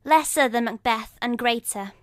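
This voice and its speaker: A woman's voice: fairly high pitch, moderate pace, fairly bright tone, no room sound, clean studio sound, natural voice. A woman playing a vengeful witch in a dramatic Shakespeare reading, chanting rhymed curses and prophecies. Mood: hailing.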